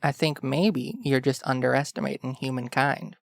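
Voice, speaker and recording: An actor playing a flippant young male revolutionary in an audio drama, deadpan and sardonic, with a creaky voice. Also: medium pitch, moderate pace, natural voice. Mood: wry.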